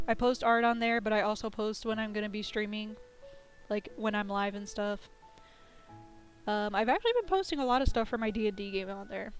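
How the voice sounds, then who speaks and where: A woman streamer chatting casually at the end of a live game stream, her voice scratchy and going hoarse, laughing often. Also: medium pitch.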